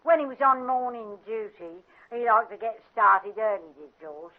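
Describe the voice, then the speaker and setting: A woman's voice: on the high side, expressive, moderate pace, phone-quality sound. A woman playing a disapproving working-class older English housewife, colloquial with double negatives and tag questions, in a radio drama. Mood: gossipy, disapproving. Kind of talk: British radio drama acting. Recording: thin, band-limited old radio drama.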